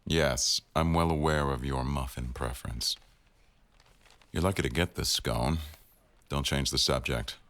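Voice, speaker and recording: Guy doing a super deep bossy office manager, dry teasing, kinda formal, boyfriend audio roleplay. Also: a little slow, long pauses, fairly bright tone, exaggerated voice. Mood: wry, teasing. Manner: wry, formal, dryly teasing. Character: stern, teasing male office boss, protective of employee. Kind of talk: boyfriend ASMR roleplay acting.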